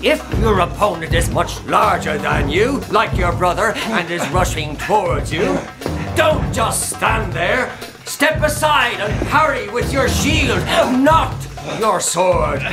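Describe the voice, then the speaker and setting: An actor playing a stern, earnest uncle who instructs young knights emphatically in a radio drama. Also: male voice, sits high, lively intonation, slow pace, loud music behind the voice, exaggerated voice. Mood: urgent, commanding.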